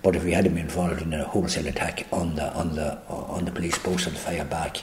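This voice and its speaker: An older man with an Irish accent, answering interview questions in a halting, reflective way with many restarts. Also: extremely low pitch, level tone, background noise. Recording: echoey room.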